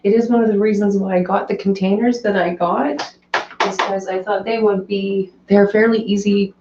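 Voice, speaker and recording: Woman, hoarse live stream host, chatty and off the cuff, echoey dull home recording. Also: mid-range voice, steady tone, moderate pace, noisy background. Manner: chatty, rambling, reads and answers viewer comments. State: hoarse, voice cracking. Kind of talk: casual live stream chat.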